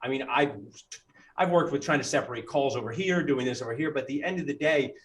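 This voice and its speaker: A man, a dentist hosting a casual interview, talking in an animated way and jumping in with quick asides. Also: mid-range voice. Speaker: dentist and podcast host.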